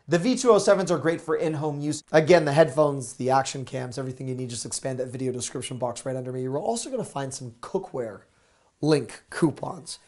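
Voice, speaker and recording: A man in his thirties, slightly hoarse, pitching bargains to camera with upbeat, salesy energy. He talks on a lapel mic in a small studio lined with gadgets. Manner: energetic, salesy, gesturing with products. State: a little hoarse. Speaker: deal show host.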